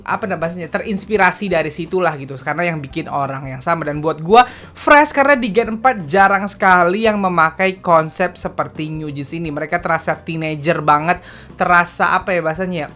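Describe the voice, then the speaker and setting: A man's voice: high voice, pushed and bright. A man, chatting casually and candidly as a K-pop news vlogger. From Indonesia. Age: late 20s. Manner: chatty and confessional, slangy, often ends with "gitu". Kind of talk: casual solo vlog commentary.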